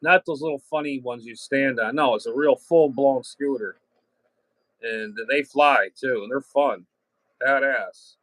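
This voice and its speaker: A man's voice: mid-range voice, a little slow, a bit bright, no room sound, poor audio. A man chatting casually as a radio hobbyist, plain-spoken and salty, often trailing off and circling back. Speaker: amateur radio hobbyist and channel host. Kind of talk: casual rambling chat.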